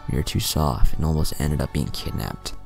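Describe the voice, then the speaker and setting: A man's voice: extremely low pitch, moderate pace, gravelly, soft background music, pitched below the performer's own voice, full character voice. A man voicing a stern clan leader who speaks in a cold, hard tone.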